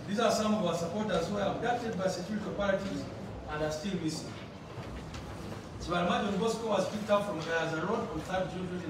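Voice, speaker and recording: A man giving formal prepared testimony to a parliamentary committee, reading in a measured way and addressing the chair, recorded from a distance in a large wood-panelled room. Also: high voice. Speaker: party representative presenting to a parliamentary committee. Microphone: far camera. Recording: echoey room recorded from afar with noise.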